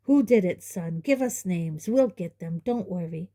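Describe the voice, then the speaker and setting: A burly middle-aged man, gruff and blustering, with a menacing edge, as a woman reader puts him on. His voice sounds very dark and mellow. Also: on the low side, animated, unhurried.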